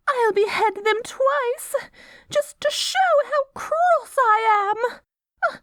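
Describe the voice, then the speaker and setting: Shrill-high, haughty Good Queen. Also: no room sound, clean studio sound, heavily acted. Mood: shrill indignation. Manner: pompous, prim, melodramatic. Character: vain, haughty, self-righteous Good Queen with a cruel streak. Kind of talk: scripted ASMR audio roleplay.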